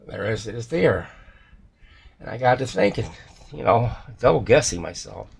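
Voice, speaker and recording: A man with a gravelly, husky voice giving a casual, rambling repair walkthrough, hesitating and correcting himself as he goes. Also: fairly low pitch, animated, normal speed. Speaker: repair technician.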